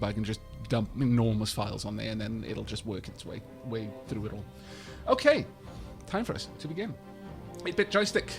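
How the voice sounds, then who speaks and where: A man chatting on a live gameplay stream in a wry, rambling way, thanking viewers by name. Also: medium pitch.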